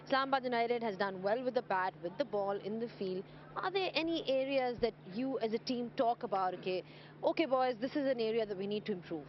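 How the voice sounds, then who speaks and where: A woman presenting a pitch-side cricket interview, asking long, measured questions. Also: a little hiss or hum. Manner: measured, scripted-sounding, drawn-out questions. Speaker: sports presenter. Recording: slightly dull outdoor broadcast audio.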